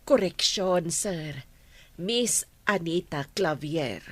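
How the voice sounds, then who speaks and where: A woman playing a firm, grieving foster mother in an Ilocano radio drama, with deliberate, dramatic delivery. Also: lowish voice, animated, a bit bright, slightly rough, no room sound, exaggerated voice. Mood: firm, composed. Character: firm, grieving single woman raising her friend's orphan.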